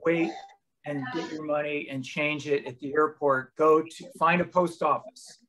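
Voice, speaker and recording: A middle-aged American man hosting a casual video-call chat, speaking haltingly and repeating words as he goes. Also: a little high, fairly even intonation, fairly bright tone, rough recording. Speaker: host and touring musician. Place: room at home with bookshelves.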